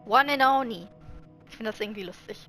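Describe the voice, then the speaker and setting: A woman's voice: fairly high pitch, quick pace, sharp tone, faint music behind. A woman casually commenting on a video game as she plays along, like a streamer. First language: German. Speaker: Let's Play streamer.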